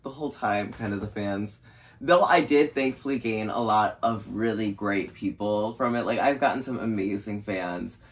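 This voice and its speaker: A drag contestant on a reunion panel, speaking candidly and reflectively. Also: male voice, medium pitch.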